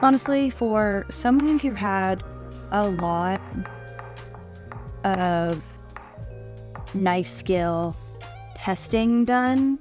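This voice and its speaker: A woman's voice: mid-range voice, very slow, long pauses, music in the background. A young adult woman chatting casually as a cooking vlogger, with a wry, self-deprecating manner. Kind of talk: casual chat while cooking. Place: home kitchen, indoors.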